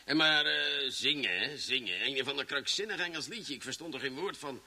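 An actor playing a grumbling, irritable police commissioner, gruff and complaining, in an old Dutch radio drama. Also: male voice, mid-range voice, lively intonation, normal speed, sharp tone, exaggerated voice. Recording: old radio recording, faint noise, clicks.

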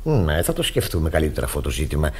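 A swooping, husky, fussy pastor. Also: male voice, extremely low pitch, big pitch swings, a little mellow, exaggerated voice. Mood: effusive. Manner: effusive, sermonizing, stammering when flustered. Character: fussy, naive, pompous middle-aged pastor, easily flustered. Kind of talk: radio theatre acting.

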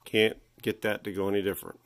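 A man giving a casual workshop walkthrough, halting and folksy as he thinks aloud, recorded indoors on a handheld device. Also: on the low side, level tone. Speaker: hobbyist electronics restorer presenting his repair.

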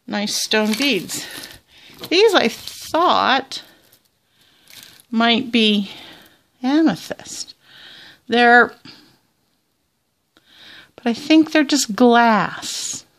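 Slow, chatty Southern Ontario woman. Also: a little high, lively intonation, a bit bright. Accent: Southern Ontario Canadian. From Canada. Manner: chatty, rambling, many fillers and restarts. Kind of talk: casual show-and-tell narration. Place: small indoor tabletop setup.

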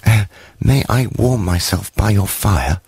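A tiny shy furry creature, as a man puts it on talks in a high, stammering rush, begging and admiring. It sounds dry and close, with a husky edge under the raised pitch. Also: measured pace, a little mellow, no room sound, heavily acted. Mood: eager shy. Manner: breathless, stammering, pleading.

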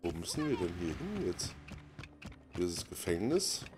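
A man's voice: low voice, very animated, moderate pace, noisy background. A man doing casual let's play commentary as a gaming host, thinking aloud while he plays. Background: game music and sound effects.

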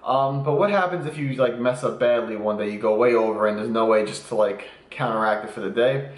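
A man's voice: medium pitch, fairly quick. A young man in his twenties talks casually and cheerfully to his camera, explaining his eating habits as he goes. His voice sits in the middle of the male range. The small home room adds a roomy echo. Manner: upbeat, explains nutrition while eating. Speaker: fitness vlogger. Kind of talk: casual food vlog.

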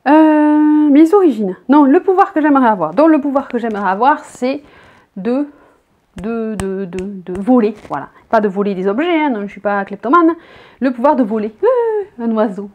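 A woman's voice: fairly high pitch, lively intonation, moderate pace, narrow, tinny audio. A woman in her thirties from the south of France, with a southern French accent, chatting casually as a vlogger and reading out tag questions from her bedroom. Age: 30s. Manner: chatty, rambling, reads each question out loud. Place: bedroom, indoors.

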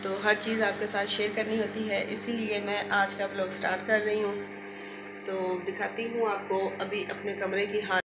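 A woman's voice: mid-range voice, level tone, moderate pace, music in the background, rough recording. A woman in her thirties with a sore throat, chatting to viewers in a home vlog, filming on a phone at arm's length. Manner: chatty, addresses viewers directly. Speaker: family vlogger. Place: home bedroom and rooftop with hens.

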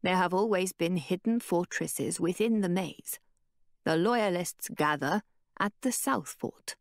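A woman voicing a calm, cryptic old woman who speaks in a low, hushed, measured way. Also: dry recording, clean studio sound.

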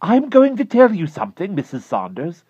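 A man voicing an excitable, peculiar man whose voice goes high and shrill with urgent excitement, on a dull lo-fi recording. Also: normal speed, breathy edge, dry recording, heavily acted. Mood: tense urging. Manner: urgent, pleading, breathless.

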